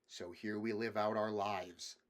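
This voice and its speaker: A man voicing a calm, gentle mother who speaks soothingly and sometimes cries out in alarm, in an audiobook reading. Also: on the low side, measured pace, close to an everyday voice.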